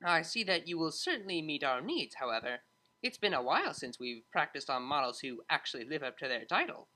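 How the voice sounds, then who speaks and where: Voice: medium pitch, sing-song, fairly quick. A narrator voicing a friendly, easygoing older teacher mare with a kindly, chatty manner. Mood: friendly, joking. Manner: kindly, gently joking, chatty.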